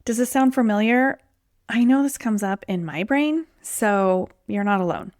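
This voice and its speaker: A woman's voice: medium pitch, a little slow, a little mellow, dry recording, studio quality. A woman hosting her own podcast as a weight loss coach, talking in a chatty, conversational way, her voice a little off from being sick. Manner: chatty, conversational, says "like" and "um" often. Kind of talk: solo podcast talk.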